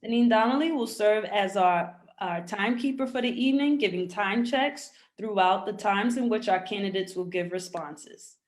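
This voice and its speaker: Female organizer, formal and orderly, reading rules over a video call. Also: medium pitch. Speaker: forum organizer.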